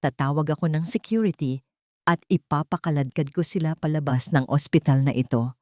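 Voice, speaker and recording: Woman voicing a low, angry protective billionaire man. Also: brisk, a little mellow, no room sound, clean studio sound, close to an everyday voice. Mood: angry, firm. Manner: firm, commanding threat.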